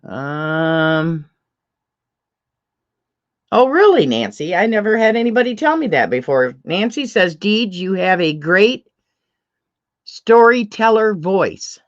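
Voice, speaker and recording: Slow-talking woman with a deep voice. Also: sing-song, highs cut off. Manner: chatty, laughs often, reads chat comments aloud. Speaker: livestream host. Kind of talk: casual livestream chat.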